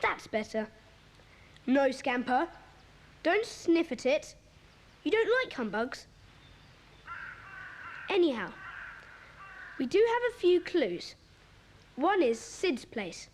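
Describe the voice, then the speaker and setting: A woman's voice: high-pitched, very animated, slow pace, long pauses, slight background noise, exaggerated voice. A woman voicing an earnest, take-charge schoolboy who leads a children's club in an audio drama. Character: sensible bossy schoolboy, leader of a children's club.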